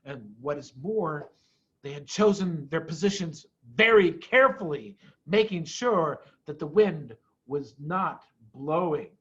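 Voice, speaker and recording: A man giving an expressive, dramatic reading of a children's story, with deliberate phrasing. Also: fairly high pitch, breathy edge, lo-fi sound. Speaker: head of school reading to pupils.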